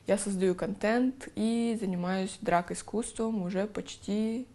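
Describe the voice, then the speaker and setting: Woman around 20, from kazakhstan, interview for a doc, calm matter-of-fact telling, mid pitch. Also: unhurried. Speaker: drag king performer and activist. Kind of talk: documentary interview.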